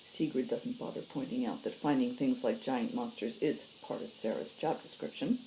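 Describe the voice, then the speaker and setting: A woman hosting a story reading, moving between casual talk and expressive narration, with insects behind her. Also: extremely low pitch, normal speed, background noise. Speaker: host reading stories aloud.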